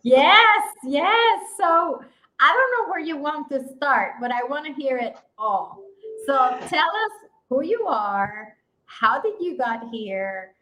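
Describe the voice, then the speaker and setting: A woman's voice: sits high, low-quality recording. A woman hosting a podcast, greeting and introducing her guest with enthusiasm. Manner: enthusiastic, lively welcome. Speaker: podcast host. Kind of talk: podcast interview.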